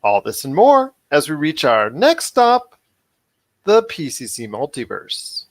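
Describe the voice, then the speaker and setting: A man's voice: a little high, huge pitch swings, slow pace, a little hiss or hum. A man hosting a podcast in an upbeat, chatty style, wordy and given to repeating himself. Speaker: podcast host.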